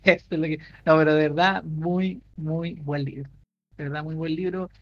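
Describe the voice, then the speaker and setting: A man co-hosting a podcast, casually recommending a book in a halting way, full of fillers and restarts. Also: a little high, fairly even intonation, dull audio. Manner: halting, many fillers and restarts while explaining. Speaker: podcast co-host.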